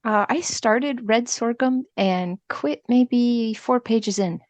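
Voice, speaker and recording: A woman's voice: on the high side, takes it slowly, darkish tone, dry recording. A woman chatting casually as a podcast guest, light and self-effacing in the way she talks. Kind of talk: casual chat.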